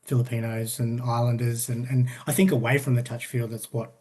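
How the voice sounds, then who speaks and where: A relaxed man hosts a podcast chat. His voice is a bit husky, and he talks in an easygoing way at a middle pitch. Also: fairly quick. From Australia. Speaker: podcast host. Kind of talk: casual chat.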